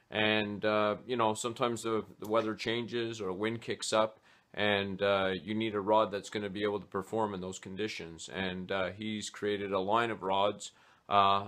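Casting: man in his forties, lowish voice, relaxed, unscripted talk full of "uh" pauses, recorded close on a webcam in a small home office. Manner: hesitant, many "uh" fillers. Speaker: fly fishing vlogger. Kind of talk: casual gear talk to camera.

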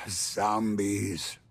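A man's voice: fairly low pitch, little pitch movement, drawn-out words, gravelly, a bit bright, breathy edge, exaggerated voice. An actor playing a smug, unflappable tough-guy mayor in a video game, talking in a wry, folksy, self-assured way.

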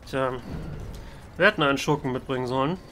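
Bright-voiced man, halting gaming commentary. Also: mid-range voice, a little hiss or hum. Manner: halting, stammering, wry. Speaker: let's player roleplaying as his game character.

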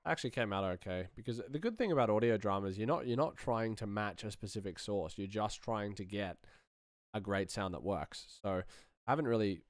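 A man in his thirties talks through his sound design work in a low voice. He sounds casual and muses aloud, recorded dry in a small room. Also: lively intonation, fairly quick, dry recording. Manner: musing, thinking aloud while working. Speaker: sound designer giving a tutorial. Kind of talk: casual screen-share commentary.

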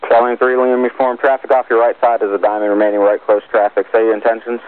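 A man's voice: mid-range voice, little pitch movement, fast, clear, resonant tone, no room sound. Tinny-radio male air traffic controller. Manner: terse, procedural. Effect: aviation radio. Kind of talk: clipped radio instructions to pilots. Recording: tinny narrow-band airband radio.

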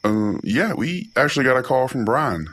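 A man's voice: medium pitch, animated, normal speed, a bit bright. A man chatting casually as the sidekick on a podcast. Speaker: podcast co-host or producer. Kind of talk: casual chat. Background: music.

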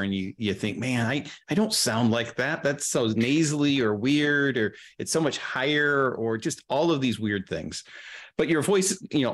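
Low-voiced middle-aged man chatting. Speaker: audio drama sound producer, guest. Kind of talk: casual interview chat. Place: indoors, on a video call.

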